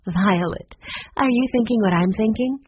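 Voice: medium pitch, normal speed, lo-fi sound, a little hiss or hum, voice pushed up, natural voice. Narrator voicing an earnest, caring young girl. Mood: bright, knowing. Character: earnest, caring young girls, storybook granddaughters.

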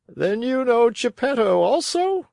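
Woman as high-pitched, inquisitive big pigeon. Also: sing-song, a little slow, vocal fry, mellow, no room sound, clean studio sound, heavily acted. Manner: calling out, questioning. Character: large talking pigeon, inquisitive messenger. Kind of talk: storybook character reading.

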